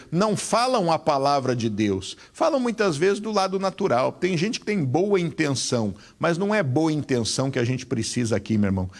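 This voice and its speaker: Gravelly preaching man. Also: mid-range voice. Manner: preaching with sweeping rises and drops. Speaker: pastor. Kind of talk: sermon.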